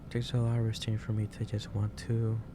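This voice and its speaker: A man playing a gentle, caring office boss in an ASMR roleplay, speaking in a hesitant, reassuring way. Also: fairly low pitch, completely flat delivery, fairly quick, lightly acted. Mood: fond, concerned. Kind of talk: ASMR roleplay, soft improvised monologue. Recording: clear background noise, ambience.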